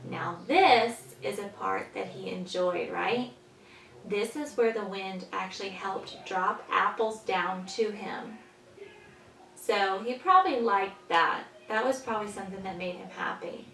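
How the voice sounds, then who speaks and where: A woman teaching young children, patiently explaining in simple words and pausing after questions, as in a story lesson. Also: medium pitch, gravelly, fairly bright tone. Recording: echoey room, distant mic, faint noise.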